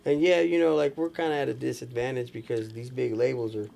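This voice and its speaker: A man's voice: mid-range voice, flat delivery, quick pace, gravelly, darkish tone. A man in casual podcast chat, slangy, saying bro and man often. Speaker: podcast panelist. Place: small room with couches. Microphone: podcast microphone.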